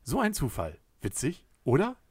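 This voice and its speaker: A man voicing a calm male space companion who explains things in a teacherly tone, in a storybook reading. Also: fairly high pitch, moderate pace, husky, no echo, studio quality, exaggerated voice. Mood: lecturing.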